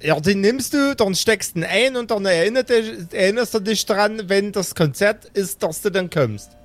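A man's voice: sits high, normal speed, dry recording, voice pushed up, close to an everyday voice. A man voicing an eager young woman who stammers and over-explains in an improvised audio play. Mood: eager explaining.

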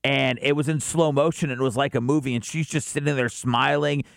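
A man's voice: medium pitch, steady tone, fairly quick, creaky, no echo, clean studio sound. An American man co-hosting a talk show, chatting casually and trading banter. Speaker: radio show co-host.

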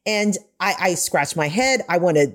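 A woman's voice: fairly low pitch, animated, moderate pace. A woman hosting a podcast in an emphatic, effusive conversational way. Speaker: guest podcast host.

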